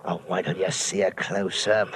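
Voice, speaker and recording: An actor playing a wry, talkative old harbor boatman with folksy nautical slang in old-time radio drama style. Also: low-pitched. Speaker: water taxi boatman. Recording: noisy vintage radio drama recording.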